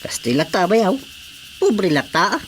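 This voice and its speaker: A woman's voice: mid-range voice, big pitch swings, normal speed, background noise, natural voice. Woman acting a teasing Ilocano countrywoman. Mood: chatty. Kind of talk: Ilocano radio comedy drama acting.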